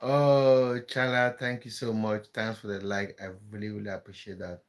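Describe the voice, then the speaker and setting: A man's voice: lowish voice, little pitch movement, normal speed. A man from Cameroon, hosting a casual live stream, chatting with viewers and often repeating words as he thinks aloud. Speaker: livestream host.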